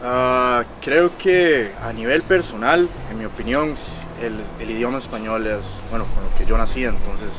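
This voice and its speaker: A Costa Rican man answering a street interview outdoors, thoughtful and hesitant, pausing on fillers. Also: medium pitch, noisy background. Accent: Costa Rican Spanish. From Costa Rica.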